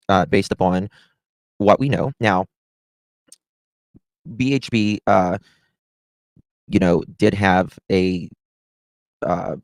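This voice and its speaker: A man giving casual livestream commentary as host, chatty and halting, repeating himself for emphasis. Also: low-pitched, no room sound, rough recording. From Southern United States.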